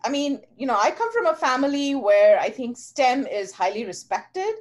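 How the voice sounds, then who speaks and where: A woman's voice: high voice, very animated, moderate pace. A woman from India, a geoscience professor talking earnestly as a podcast guest. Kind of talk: casual interview talk.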